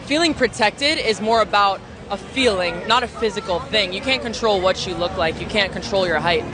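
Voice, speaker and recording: A woman's voice: medium pitch, moderate pace, over loud music, a loud crowd. A woman in her twenties answering street interview questions frankly and confidently on a city street at night. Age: 20s. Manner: frank, self-assured.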